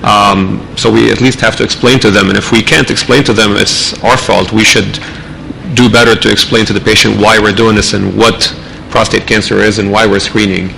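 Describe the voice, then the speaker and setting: A man's voice: lowish voice, steady tone, moderate pace, vocal fry, sharp tone, a little hiss or hum, rough recording. A man from Lebanon and the United States, a professor on a medical panel, explaining his view fluently and reasonedly. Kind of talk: conference panel discussion.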